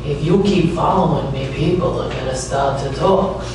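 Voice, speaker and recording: A woman playing a wisecracking, dogged private detective, narrating in deadpan 1940s noir radio style. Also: low voice, normal speed, background noise, lightly acted. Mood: wry, determined. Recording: echoey stage, distant mic, music underneath.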